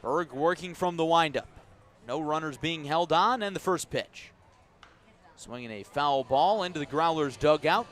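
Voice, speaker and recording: An American man doing live radio play-by-play of a baseball game, measured between pitches and emphatic on the action. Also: fairly bright tone, faint music behind. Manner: measured description rising into emphatic calls on the action. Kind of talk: live radio sports commentary. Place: outdoors at a ballpark.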